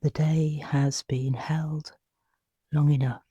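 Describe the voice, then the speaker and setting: A meditation guide speaks very slowly in a mellow, breathy hush. The delivery is soothing and unhurried, with long pauses between phrases. The sound is dry and close, made for guiding someone to sleep. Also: mid-range voice, flat delivery, no echo, highs cut off.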